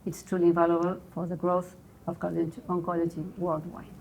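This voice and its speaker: A woman's voice: on the low side, monotone, normal speed, gravelly, a little mellow, slight background noise. A woman interviewing a guest at a conference, asking formal questions as if reading them out.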